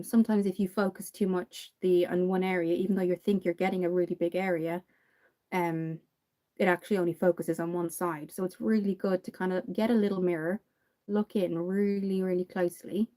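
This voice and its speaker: Chatty Dublin woman with a mellow voice. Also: lowish voice. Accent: Dublin Irish. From Ireland. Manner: chatty, reads and answers viewer comments. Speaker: makeup livestreamer. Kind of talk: casual livestream makeup tutorial.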